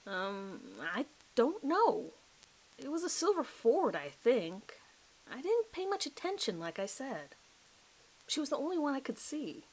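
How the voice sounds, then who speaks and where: A woman's voice: sits high, very animated, measured pace, darkish tone, pitched above the performer's own voice, full character voice, poor audio. A nervous suspect in his thirties a man as acted out by a woman gives his account in a dramatized police interview. He is hesitant and apologetic, rambling as he tries to recall details. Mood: defensive, unsure.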